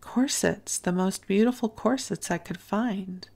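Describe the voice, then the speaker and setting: A woman's voice: medium pitch, unhurried, vocal fry, darkish tone, voice pushed up. A woman reading the lines of a perplexed, lovesick young male French diplomat in a bedtime reading, pleading earnestly. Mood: dismayed.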